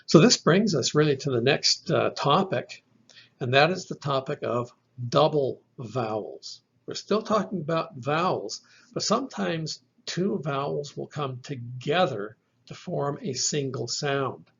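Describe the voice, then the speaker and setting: Slow, gravelly man teaching pronunciation. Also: on the high side, lively intonation, fairly bright tone, muffled recording. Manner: slow, methodical, repeats each sound for the listener. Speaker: Greek language teacher. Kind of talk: recorded lecture on pronunciation.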